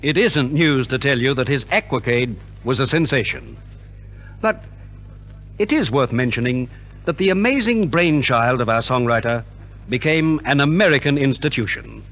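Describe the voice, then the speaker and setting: A man's voice: medium pitch, a bit bright. A man from Australia narrating as a formal, theatrical compere in 1950s scripted radio. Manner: formal, theatrical announcer delivery. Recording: 1950s radio transcription recording.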